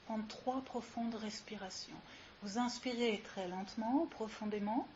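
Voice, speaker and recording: Slow, higher-voiced woman guiding relaxation. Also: darkish tone. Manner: soothing, unhurried instructions with long pauses. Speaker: yoga nidra instructor. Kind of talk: guided relaxation meditation. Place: live session with ambient sound. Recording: muffled live recording with clear hiss.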